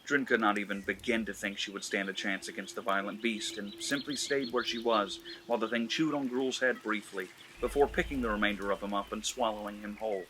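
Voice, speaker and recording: A man's voice: fairly low pitch, fairly even intonation, a little fast, hardly any pauses, sharp tone, slight background noise, rough recording. A man hosting a comedy channel, giving an erotic story a mock-serious dramatic reading. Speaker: narrator and host.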